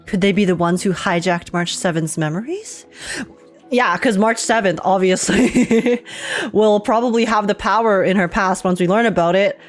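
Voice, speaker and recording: A woman's voice: medium pitch, moderate pace. Chatty young woman reacting to a game. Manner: chatty, informal, sweary, theorizing aloud. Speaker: gaming streamer. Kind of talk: casual game reaction commentary. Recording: music playing behind the voice.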